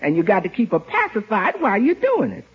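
An actress playing a good-natured, practical housekeeper with a folksy, frank but deferential manner in a 1950s radio comedy. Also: female voice, mid-range voice, huge pitch swings, brisk, breathy edge, heavily acted. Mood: advising. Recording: muffled vintage 1950s radio recording.

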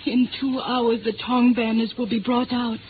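An actress playing a frightened, dutiful young Chinese daughter, pleading formally and hesitantly in a 1950s radio drama. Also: female voice, fairly high pitch, flat delivery, normal speed, close to an everyday voice. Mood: anxious pleading. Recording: tinny 1950s radio recording, faint noise.

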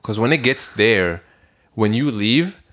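A man's voice: fairly low pitch, slow. A young man from Belgium, co-hosting a casual podcast chat and telling stories with acted-out dialogue. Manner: animated storyteller, acts out other people's lines. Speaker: podcast co-host.

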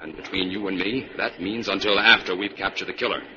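A man's voice: low voice, fairly even intonation, fairly quick, crowd noise, natural voice. A man playing a confident old-radio detective. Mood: calm, assured. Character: confident, clever male detective in charge of a trap. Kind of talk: old-time radio adventure acting. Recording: muffled, hissy old radio recording.

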